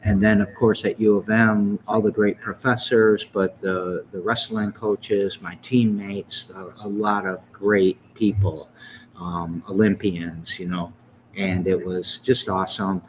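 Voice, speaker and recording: A man's voice: fairly low pitch, little pitch movement, a little slow, a little hiss or hum, poor audio. A man in his fifties from Michigan, hosting a casual interview and rambling through his life story with chuckles and self-corrections. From Lansing, Michigan. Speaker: podcast host.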